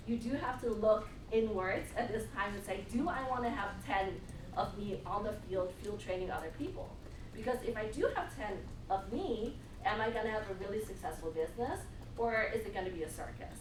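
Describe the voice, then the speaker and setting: A woman giving a casual live training talk to a group as presenter and interviewer, often checking in with listeners. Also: on the high side. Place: indoor meeting room with an audience. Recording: echoey, noisy room, far from mic.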